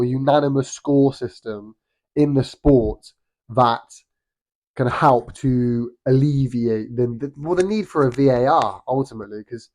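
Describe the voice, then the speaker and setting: A man's voice: mid-range voice, a little mellow, low-quality recording. British man with a nasal cold. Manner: rambling, frequent restarts and ums. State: has a cold, slightly nasal. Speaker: boxing vlogger. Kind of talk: casual opinion monologue.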